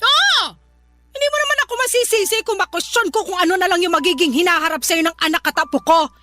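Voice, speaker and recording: A woman acting in a radio drama as a scornful, nagging older mother-in-law with a sharp, sarcastic, scolding manner. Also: extremely high pitch, fast, soft background music. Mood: indignant. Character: scornful, nagging older mother-in-law who belittles her daughter's partner.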